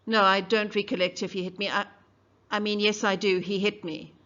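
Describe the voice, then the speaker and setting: A woman's voice: flat delivery, brisk, a bit bright, lightly acted. A woman voicing a frightened, defiant young witness, stammering and defensive. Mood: agitated. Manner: shrill, stammering, defensive outbursts. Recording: dull lo-fi recording.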